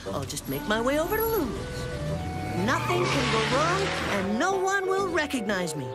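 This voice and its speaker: Cartoon casting: melodramatic, boastful little boy, wildly swooping pitch, slow delivery over music. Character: scheming, boastful little boy, easily embarrassed. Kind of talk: children's cartoon voice acting.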